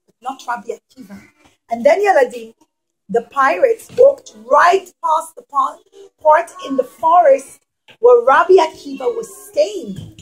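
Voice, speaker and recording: A woman with a high voice tells a story to young children. She teaches dramatically, leaning on emphatic pauses and putting questions to her listeners. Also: poor audio.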